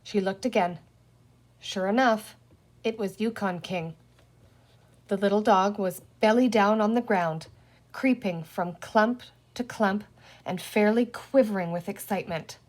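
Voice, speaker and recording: A woman's voice: mid-range voice, unhurried, a little mellow. A woman reading a children's storybook aloud as narrator. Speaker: reader, teacher reading aloud.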